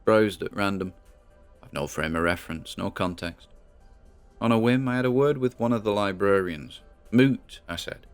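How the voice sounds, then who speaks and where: An actor plays a British man obsessed with a private mystery, recording audio logs for a drama. His voice is low and conversational, full of musing and muttered asides. Music runs behind him. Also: moderate pace, clear, resonant tone, close to an everyday voice. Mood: puzzled, weary. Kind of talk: audio drama monologue as diary log.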